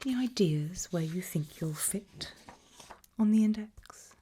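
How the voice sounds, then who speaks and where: A woman whispering an ASMR role-play straight to the listener in a breathy, measured, quietly ominous way. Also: fairly low pitch, lively intonation, a little hiss or hum. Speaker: ASMR host playing a fear-test examiner.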